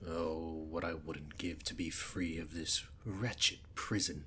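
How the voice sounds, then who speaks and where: A man acting a haughty, menacing spirit king of nightmares with a British accent, in a theatrical ASMR roleplay delivery that shifts between hushed and booming. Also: fairly even intonation, slow, slight background noise, full character voice. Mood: brooding, wary. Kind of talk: ASMR roleplay villain monologue.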